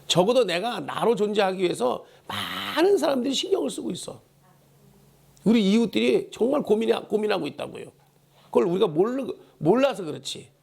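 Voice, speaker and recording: A male pastor delivers a church sermon in a high, worn voice. It is hoarse and heavily creaky. He exhorts emphatically, driving key phrases home by repeating them. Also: sing-song, a little fast, darkish tone. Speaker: pastor preaching a Sunday sermon. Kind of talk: Korean church sermon with call-and-response prompts. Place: church service.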